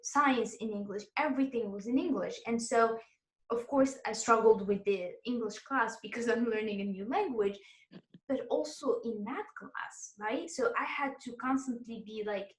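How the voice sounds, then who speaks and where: A woman's voice: fairly high pitch, moderate pace, echoey room, poor audio. A woman hosting an interview in casual chat, whose first languages are Arabic and French, talking in an encouraging way and laughing often. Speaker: interview host and exam tutor.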